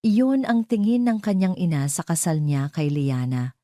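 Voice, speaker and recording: A woman's voice: on the low side, big pitch swings, unhurried, dark tone, no room sound, studio quality. A woman narrating a scripted dramatic story.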